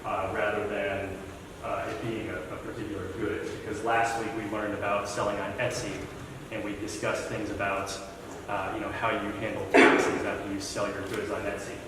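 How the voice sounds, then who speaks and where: A man moderating a library panel, asking questions in a halting way with many uhs. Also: fairly low pitch, little pitch movement. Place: library event room. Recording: echoey hall, mic far off, noisy.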